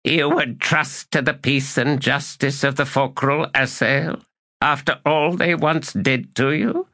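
A man voicing a bitter, ambitious one-eyed male elder god who speaks in a forceful, scornful and commanding way. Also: mid-range voice, fairly even intonation, measured pace, pitched above the performer's own voice. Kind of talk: audiobook character reading.

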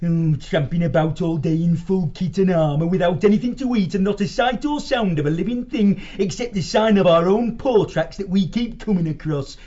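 A man's voice: high-pitched, moderate pace, few pauses, warm tone, muffled recording. A man voicing a weary, grumbling animal foot soldier in an audiobook story, chatty and fed up. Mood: disgruntled.

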